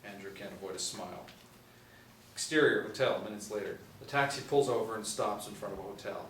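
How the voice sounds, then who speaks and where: Low-pitched man narrating in an echoey room. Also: brisk, fairly bright tone. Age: 40s. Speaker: narrator of stage directions. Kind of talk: scripted narration. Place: small room indoors. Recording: roomy, distant mic, faint noise.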